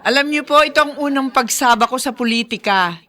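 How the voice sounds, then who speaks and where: A woman's voice: high-pitched, brisk, pushed and bright. A woman playing a mayor's wife giving a campaign speech in a Filipino radio drama. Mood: confident.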